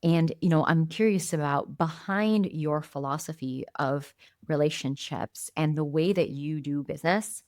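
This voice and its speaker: A woman in her thirties hosting an interview podcast, speaking reflectively and choosing her words deliberately, with repetitions for emphasis. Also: low-pitched, darkish tone, dry recording, clean studio sound. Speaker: podcast host.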